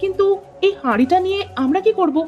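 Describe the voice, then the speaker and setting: Woman playing an excitable, high-voiced village wife. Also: fast, dull audio, faint music behind. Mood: wondering. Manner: expressive, exclaiming. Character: tender-hearted village farmer's wife, excitable. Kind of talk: cartoon voice acting.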